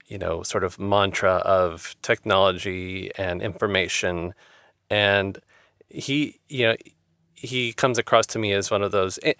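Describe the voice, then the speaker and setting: A man's voice: fairly low pitch, a bit bright, clear, resonant tone, no room sound, studio quality. A man hosting an interview, talking in an earnest, rambling way and often repeating words. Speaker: interviewer, host. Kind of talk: conversational interview.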